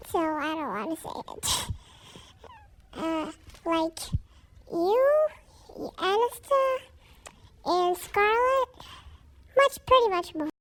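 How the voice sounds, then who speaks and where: A vlogger from Saudi Arabia answering questions in a casual, giggly, informal way, through a voice effect that makes them sound like a kid. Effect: pitched-up kid voice effect. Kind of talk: casual Q&A chat.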